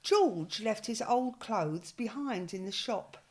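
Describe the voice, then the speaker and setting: An older English woman with an expressive manner, reading a children's picture book aloud. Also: on the high side, a little hiss or hum. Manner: slow, expressive storytelling. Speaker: storyteller.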